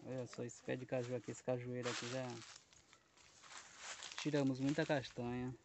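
A man's voice: mid-range voice, little pitch movement, normal speed, noisy background. A man in his thirties vlogging casually outdoors on a lapel mic, chatty and rambling as he talks straight to his viewers. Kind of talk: casual vlog chat. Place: outdoors in a rural yard and fields.

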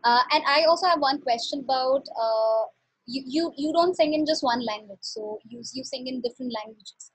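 High, breathy young woman interviewer. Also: moderate pace, no echo, rough recording. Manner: hesitant, repeats words and restarts. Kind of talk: interview questions. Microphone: headset on a video call.

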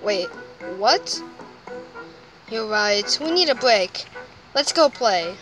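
A woman's voice: mid-range voice, expressive, takes it slowly, a bit bright, faint music behind, low-quality recording. A woman performing an amateur comic dub, acting out cartoon characters.

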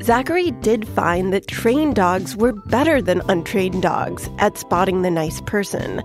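A woman's voice: mid-range voice, expressive, unhurried. A woman podcast host explaining things playfully in scripted narration for a children's science show. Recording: music behind the voice.

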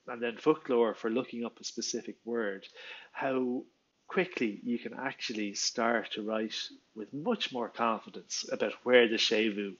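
Chatty Irish man, slightly dull headset sound. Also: on the low side. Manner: enthusiastic, chatty, many fillers and restarts. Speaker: Irish language teacher. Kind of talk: explainer video talk. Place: small living room at home. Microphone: headset, video call framing.

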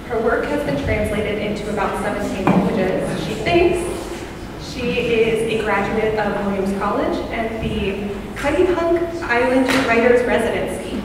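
Brief: fairly high-voiced woman introducing a panel at a podium, a bit off-mic, long hall reverb. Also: lively intonation, measured pace. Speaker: festival committee member introducing a panel. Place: large hall with wood panelling. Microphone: podium microphone. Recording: echoey hall, heard from a distance, background noise.

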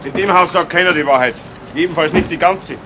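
An actor as a high-voiced sceptical detective. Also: male voice, fairly quick, lightly acted. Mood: suspicious. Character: sceptical male police detective. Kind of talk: 1970s German radio crime drama acting. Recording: noisy old radio play recording.

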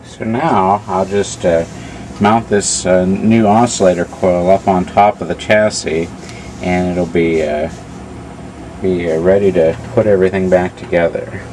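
A man narrating a casual repair demonstration in a halting, measured way. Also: deep voice, noisy background. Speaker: hobbyist radio repairer.

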